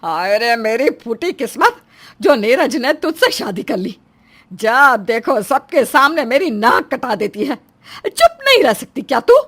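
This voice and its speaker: Woman voicing an exasperated, slow-paced mother-in-law. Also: a little high, sing-song, fairly bright tone, full character voice. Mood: scolding, mortified. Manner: scolding, long-suffering, dramatic. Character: exasperated, proud middle-aged mother-in-law. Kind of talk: Hindi moral story cartoon dialogue.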